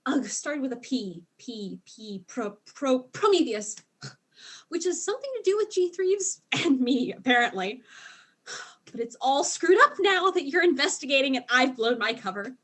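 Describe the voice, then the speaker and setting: An actress playing a tipsy, hapless, naive alien spy in an audio drama, rambling as if drunk. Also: female voice, high-pitched, very animated, moderate pace. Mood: tipsy, self-pitying. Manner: slurred, rambling drunk talk.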